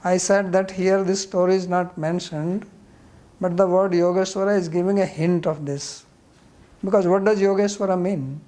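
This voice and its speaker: A man's voice: high-pitched, fairly even intonation, moderate pace, dark tone. A man with an Indian accent, a spiritual teacher answering questions in a scripture class in a blunt, explanatory way.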